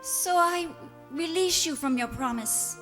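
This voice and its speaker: A woman plays a wounded young fiancée in a radio drama, high-voiced, earnest and resolute. Music plays clearly beneath the words, which carry a long reverb. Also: a little slow. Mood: reproachful. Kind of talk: radio play acting.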